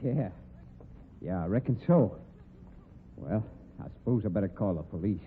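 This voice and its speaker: A man's voice: lowish voice, animated, normal speed, a little hiss or hum, close to an everyday voice. A man playing a tough, plain-spoken rodeo cowboy sleuth with a folksy American drawl, in old-time radio drama style. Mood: subdued, gruff. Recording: muffled lo-fi old radio recording.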